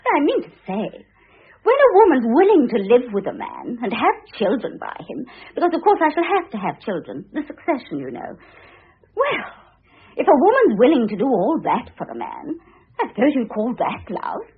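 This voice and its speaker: A woman's voice: high voice, huge pitch swings, moderate pace, slightly rough, exaggerated voice. An actress playing a young, vain, grasping society woman in a classic radio drama, theatrical and haughty. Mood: airy, evasive. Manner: theatrical, self-satisfied, haughty. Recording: muffled phone-like old radio recording.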